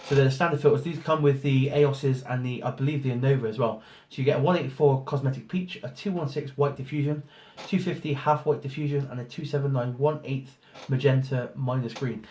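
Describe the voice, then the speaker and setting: Hoarse man rambling through an unboxing. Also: mid-range voice, darkish tone. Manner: rambling, many ums and restarts. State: hoarse from a cold. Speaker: photographer doing an unboxing. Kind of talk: casual product walkthrough. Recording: roomy echo, faint noise.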